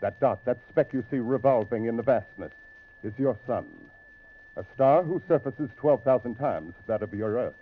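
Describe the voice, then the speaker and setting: An actor playing a stern alien spaceship captain in an old radio drama, speaking in formal, measured, imperious declarations. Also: male voice, medium pitch, lively intonation, a little hiss or hum, natural voice. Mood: grave, instructive. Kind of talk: 1950s radio science fiction drama acting. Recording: muffled, tinny old radio recording.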